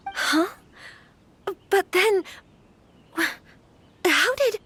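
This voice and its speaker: A woman's voice: extremely high pitch, expressive, pushed and bright, exaggerated voice. A woman voicing a troubled, refined young noblewoman in a video game, speaking politely and hesitantly. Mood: puzzled. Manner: polite, hesitant, sighing pauses. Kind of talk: video game voice acting, dramatic dialogue. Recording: background music under the voice.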